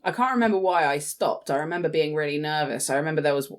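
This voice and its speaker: A young woman with a British accent and a low-set voice. She talks as a podcast co-host in an informal, rambling way, often restarting and correcting herself mid-thought. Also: fast. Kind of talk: casual chat.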